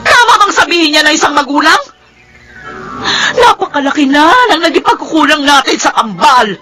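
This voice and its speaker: Filipina radio drama mom, super high sharp voice, upset and accusing, pleading hard. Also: female voice, lively intonation, normal speed, soft background music. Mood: anguished reproach. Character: anguished, indignant mother confronting her husband.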